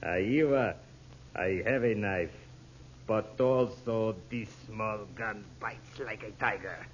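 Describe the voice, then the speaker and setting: An actor playing a menacing male assassin who talks in slow, halting broken English, in an old radio drama. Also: on the low side, a little hiss or hum. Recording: dull old radio recording.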